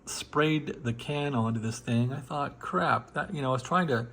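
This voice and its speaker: A man giving a casual, rambling show-and-tell as a hobbyist, laid-back and halting as he thinks aloud. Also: medium pitch, a bit bright. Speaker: hobbyist showing a restored radio receiver.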